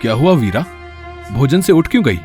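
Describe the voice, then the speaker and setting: A man's voice: mid-range voice, sing-song, normal speed, music in the background, natural voice. A man playing a protective, scheming and worried brother in a folktale, with emphatic, theatrical delivery. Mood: concerned.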